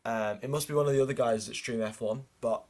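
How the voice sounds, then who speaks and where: A man's voice: medium pitch, fast talker, a little mellow. A man hosting a livestream, giving running race commentary and reading out names and positions in clipped lists. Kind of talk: live race watchalong commentary.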